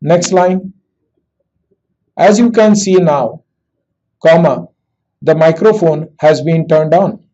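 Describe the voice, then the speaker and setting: A man with an Indian accent talks slowly through a software demonstration, his voice mellow and a little raised in pitch. He speaks in measured phrases, as if dictating to a computer. The recording is dull and lo-fi, from a basic computer mic. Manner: slow, deliberate dictation with spoken punctuation.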